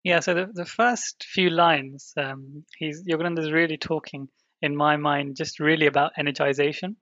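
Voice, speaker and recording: A man with a slightly higher voice explains earnestly, circling back on words as he goes. The sound is dry and lo-fi, with no room around it. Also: fairly even intonation, moderate pace, pushed and bright, dry recording. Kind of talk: casual discussion.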